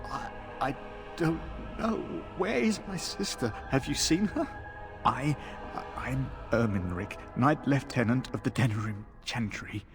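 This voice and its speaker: Broken, slow-talking captive knight. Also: male voice, mid-range voice, lively intonation, over music. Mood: dazed confusion. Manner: halting, confused, pleading speech. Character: broken, delirious captive knight templar, weak and guilt-ridden.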